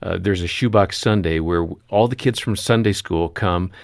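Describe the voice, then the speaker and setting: A man's voice: sits low, moderate pace, gravelly, dry recording, studio quality. A man co-hosting a talk show, speaking sincerely with a few fillers. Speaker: radio co-host. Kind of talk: casual talk-show chat.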